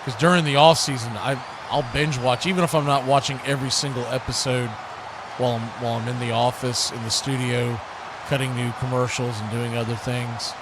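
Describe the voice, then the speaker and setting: A man's voice: medium pitch, fairly even intonation, brisk, very noisy. A man hosting a live football stream, mixing play-by-play commentary with casual chat in folksy, colloquial phrasing.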